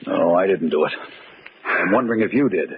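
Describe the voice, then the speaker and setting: An actor playing an accused amateur magician, theatrical, sardonic and urgent, in a 1940s radio mystery. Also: male voice, sits low, animated, brisk. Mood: bitter, sardonic. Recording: tinny, noisy old radio recording.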